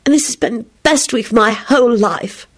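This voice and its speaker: A woman's voice: on the high side, fairly quick, voice pushed up, natural voice. A woman voicing a sweet, bashful but playfully firm young woman in love, in a storybook reading. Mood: adoring.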